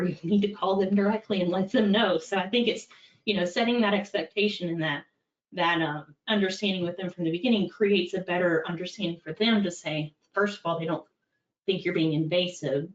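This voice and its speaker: A middle-aged woman in a compliance role giving a candid, practical talk, with many fillers. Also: on the low side. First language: English. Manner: conversational, practical, with frequent fillers. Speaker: compliance executive presenting. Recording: far-off echoey dull-sounding room.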